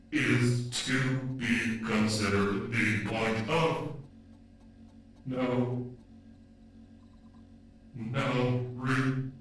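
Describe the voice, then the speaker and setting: Man acting as slow, echoing, failing humanlike AI. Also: medium pitch, a bit bright. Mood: faltering. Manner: slow, halting, repeats words. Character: ageing experimental humanlike AI, weary and grieving, losing memory. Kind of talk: dramatic audio roleplay monologue. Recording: very echoey, distant from the mic.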